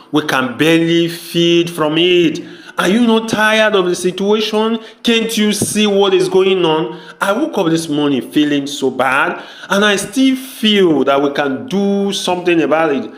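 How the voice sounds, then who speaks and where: Earnest troubled brother played by a man, high voice, emphatic and repetitive pleading, roomy echo. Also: fairly bright tone. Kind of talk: amateur audio drama acting.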